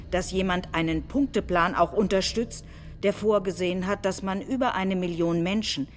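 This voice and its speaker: A woman recites scripted quotations in documentary-theatre style. Her voice is a little low and her phrasing emphatic and declamatory. There is faint ambient noise behind her. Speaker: stage reader of political quotations.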